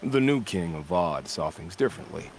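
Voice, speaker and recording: An actor playing a calm, menacing ex-soldier who relishes killing, speaking softly and philosophically in a video game. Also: male voice, low-pitched, slight background noise. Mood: calm, sly. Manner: soft-spoken, measured, philosophical. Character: calm, menacing male bandit-killer, ex-soldier with a taste for killing.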